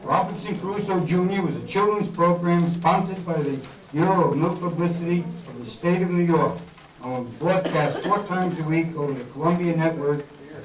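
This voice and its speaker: A man announcing a program and reading out a cast list in a roomy space. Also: a little high. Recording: roomy, distant mic, faint noise.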